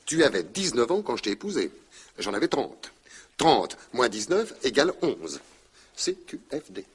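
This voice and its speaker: A man's voice: mid-range voice, big pitch swings, normal speed, breathy edge. An actor playing an exasperated, logic-minded husband in his thirties, in a 1960s radio drama. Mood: reasoning. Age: 30s. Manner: reasoning, exasperated, hesitant.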